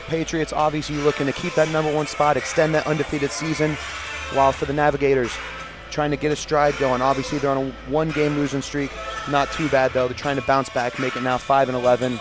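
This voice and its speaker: A man talks fast as a basketball color commentator, breaking down the game. He strings his thoughts together loosely in a conversational way, with a voice of ordinary middle pitch. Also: level tone, music in the background. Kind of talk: game analysis.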